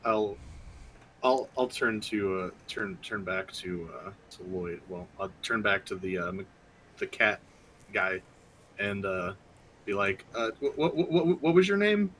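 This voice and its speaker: A man playing a tabletop game, speaking in character with a halting, stammering delivery. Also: fairly low pitch, expressive, fairly bright tone, slight background noise, poor audio. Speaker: player. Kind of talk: in-character role-play.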